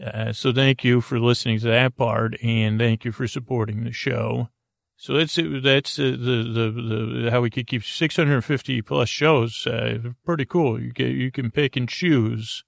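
A man hosting a bedtime podcast, rambling softly off on tangents in lulling, creaky, dulcet tones. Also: mid-range voice, fairly even intonation, measured pace, no room sound, studio quality. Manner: meandering, tangent-prone, self-interrupting, drowsy and soft. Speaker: podcast host.

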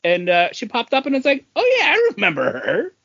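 A man's voice: very high voice, huge pitch swings, brisk, a bit bright, slightly rough, muffled recording. A man co-hosting a podcast, chatting casually about comics and beer. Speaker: podcast host.

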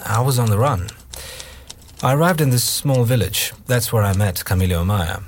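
A man's voice: fairly low pitch, lively intonation, moderate pace. An actor playing a male journalist turned circus troupe manager, recounting his past in an audio play monologue. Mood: reflective recounting. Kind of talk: dramatised first-person monologue in an audio play.

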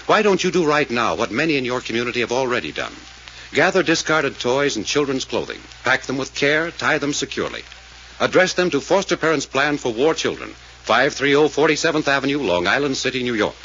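A man's voice: mid-range voice, sing-song, fairly quick, bright, forceful tone. A man announcing a scripted 1940s radio charity appeal in a solemn, emphatic manner. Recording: muffled old radio recording with noise.

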